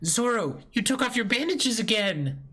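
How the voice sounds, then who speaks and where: A man's voice: very high-pitched, lively intonation, normal speed, bright, forceful tone, breathy edge, voice pushed up, exaggerated voice. A man voicing a fussy little doctor who scolds a patient in a comic reading. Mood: scolding.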